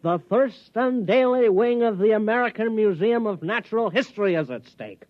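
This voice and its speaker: A man's voice: high-pitched, expressive, moderate pace, no room sound. A man acting an over-eager, stubborn amateur scientist in a 1950s radio comedy drama, earnest and insistent. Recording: dull, dry old radio recording.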